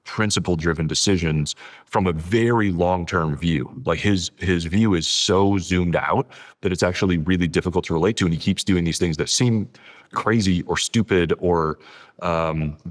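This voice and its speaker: A man's voice: deep voice, normal speed. A man talking conversationally as an interview guest, explaining things and repeating words for emphasis. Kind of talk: conversational long-form interview talk.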